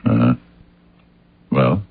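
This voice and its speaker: An actor playing a gruff, wary sleuth in a radio drama, with halting, probing questions and a very deep, gravelly voice. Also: male voice, a little hiss or hum. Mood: insistent. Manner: halting, pressing questions with many ums. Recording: muffled lo-fi radio drama recording.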